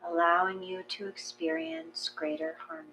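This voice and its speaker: A woman reading a guided meditation in a gentle, measured, calming way. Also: medium pitch, faint music behind, rough recording. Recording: clear mains hum.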